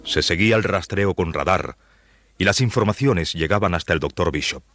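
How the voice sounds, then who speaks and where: A man's voice: deep voice, moderate pace, fairly bright tone. A man narrating a suspense radio drama as a grave, solemn storyteller. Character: grave, solemn male storyteller of a science fiction tale. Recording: music behind the voice.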